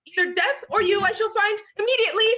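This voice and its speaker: A woman's voice: high voice, lively intonation, moderate pace, fairly bright tone. A woman playing a modest young noblewoman, speaking verse in a stage play. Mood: frightened. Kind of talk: Shakespearean stage acting. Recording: dull lo-fi sound.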